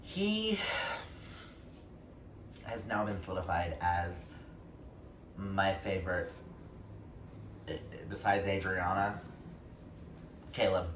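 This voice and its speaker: Slow-talking, gushing low-voiced man. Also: lively intonation, a bit bright. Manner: gushing, emphatic, dramatic pauses. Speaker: book reviewer vlogger, reading challenge co-host. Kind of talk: casual book vlog chat. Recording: roomy, distant mic, faint noise.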